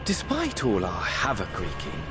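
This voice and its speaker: Game villain: flamboyant mad manservant, mock-deferential and theatrical, very animated pitch, loud music behind. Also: male voice, unhurried. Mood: sly, mocking. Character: flamboyant, gleefully mad villainous male servant. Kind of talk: video game villain acting.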